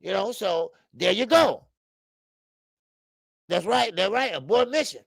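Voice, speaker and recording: A man in his fifties hosting a live boxing talk stream, loud and profane, rambling and greeting viewers by name. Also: high-pitched, animated, unhurried, creaky, dry recording, studio quality. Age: 50s. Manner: frequent laughs and stretches of filler. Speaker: boxing show host. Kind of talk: live-stream commentary chat.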